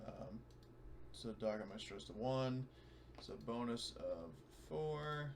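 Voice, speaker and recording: A man's voice: medium pitch, lively intonation, takes it slowly, gravelly. A sweary man talking casually as a player in a tabletop roleplaying game. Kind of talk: casual chat. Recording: faint background noise.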